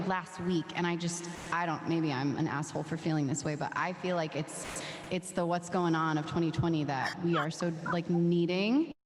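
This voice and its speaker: A woman in her thirties with a low voice, a songwriter guest chatting casually and laughing often. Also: a little hiss or hum. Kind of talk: casual chat. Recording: echoey room with long reverb.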